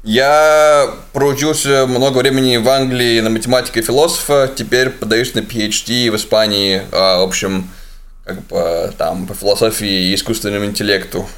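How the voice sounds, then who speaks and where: A man's voice: lowish voice, fairly even intonation, normal speed, a bit bright. Mid-twenties man, casual podcast talk. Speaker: podcast co-host, philosophy student. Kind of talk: casual group podcast chat.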